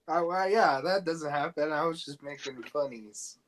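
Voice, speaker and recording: A man's voice: on the high side, moderate pace, fairly bright tone. A man co-hosting a podcast, chatting casually and jokingly in slangy speech. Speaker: podcast co-host.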